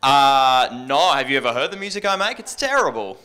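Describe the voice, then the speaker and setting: A man's voice: mid-range voice, big pitch swings, normal speed, pushed and bright, clean tone. A man talking casually as a panelist, on a handheld microphone. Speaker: radio panelist. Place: indoor panel event.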